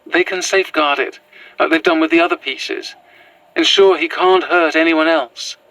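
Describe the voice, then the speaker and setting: A woman's voice: sits low, steady tone, fairly bright tone, rough recording. A woman playing an anxious, pragmatic fugitive in a naturalistic found-footage audio drama, speaking hesitantly and earnestly. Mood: earnest, insistent. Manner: hesitant, halting, nervous.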